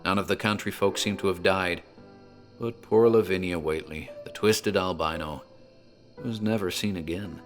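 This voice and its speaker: A man performs a rural tavern landlord with a low voice, spinning a grim local tale for a horror audio drama. He speaks in measured phrases with dramatic pauses, over prominent music. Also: close to an everyday voice. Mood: grave, hushed.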